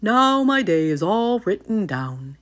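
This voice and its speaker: A woman's voice: medium pitch, very animated, takes it slowly. A woman voicing a fretful, literal-minded male toad who is easily upset, in a children's storybook read-aloud. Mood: pleased, matter-of-fact.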